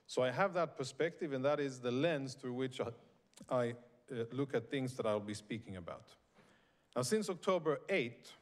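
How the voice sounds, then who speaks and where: A man who grew up in Sweden, giving a prepared speech to a live audience at a conference, in a measured, emphatic and deliberate way. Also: medium pitch, a little mellow. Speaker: conference speaker. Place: conference venue in New York.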